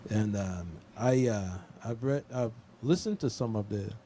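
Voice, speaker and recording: A man hosting a casual radio interview, speaking haltingly and repeating phrases as he goes. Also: lowish voice, mellow, a little hiss or hum. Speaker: radio host. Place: radio studio.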